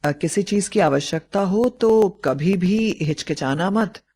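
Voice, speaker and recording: A woman storyteller voicing a kindly middle-aged man who advises gently and formally. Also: fairly low pitch, slow pace, slightly rough, exaggerated voice. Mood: calm, practical. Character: kindly middle-aged family friend, a calm consoling man.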